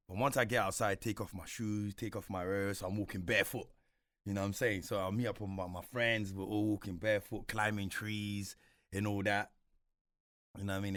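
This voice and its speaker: A young man from Congo with a London accent, whose first language is French, telling stories of his childhood in a relaxed, laid-back way. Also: sits low, no room sound, studio quality. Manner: relaxed, laid-back, many fillers like "you know what I'm saying". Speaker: guest. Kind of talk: casual storytelling interview.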